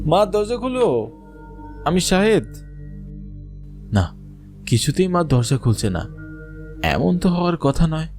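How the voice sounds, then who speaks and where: A young village son recounting a horror cartoon tale. His delivery is suspenseful and expressive, with big swings in pitch, over background music. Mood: anxious, pleading. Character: Shahed, grown son narrating his eerie village ghost story. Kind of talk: dramatic scripted first-person horror narration and dialogue.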